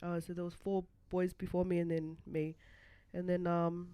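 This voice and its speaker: A woman's voice: on the low side, normal speed, smooth, no echo. Young woman, podcast guest, casual, hesitant, lots of fillers. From born in New Zealand, raised in Australia. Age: 20s. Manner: relaxed, hesitant storytelling with many fillers. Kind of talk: casual chat.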